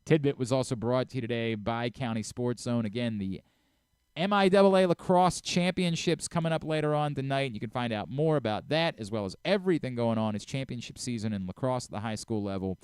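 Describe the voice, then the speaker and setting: American sports radio host, dry close-miked sound. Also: male voice, mid-range voice, brisk, a little mellow, smooth, no room sound. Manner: bantering, teasing, interrupts and repeats himself. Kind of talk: casual sports banter with live sponsor reads. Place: radio studio. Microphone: close studio microphone.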